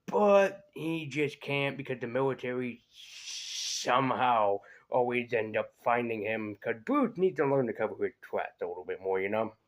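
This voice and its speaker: A man's voice: mid-range voice, expressive, moderate pace, hardly any pauses. A man reviewing cartoons in a casual, conversational way, hesitating and drifting into asides as he goes. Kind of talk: casual rambling review commentary.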